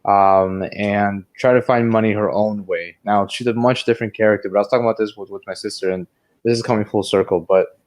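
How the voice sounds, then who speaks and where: Low-pitched man chatting casually about films. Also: steady tone, brisk, a little mellow. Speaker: podcast co-host. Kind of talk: casual film review discussion.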